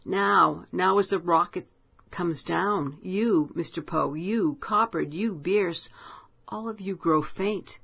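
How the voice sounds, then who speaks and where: A woman voicing a frightened, despairing male writer in a storybook reading, speaking in hushed, fearful tones. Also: fairly low pitch, slow pace, gravelly, slightly rough, pitched above the performer's own voice, full character voice.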